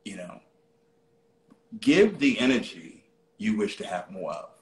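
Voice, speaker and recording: Male motivational live host, highly animated intonation, repetitive and rhetorical, frequent check-ins with listeners, roomy echo. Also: a little slow. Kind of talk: motivational talk to a live online audience. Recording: roomy, echoey sound.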